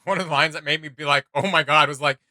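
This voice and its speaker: A man hosting a reaction video, chatting casually with excitable enthusiasm. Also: a little high, fast talker, heavy background noise. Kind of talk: casual chat, commentary.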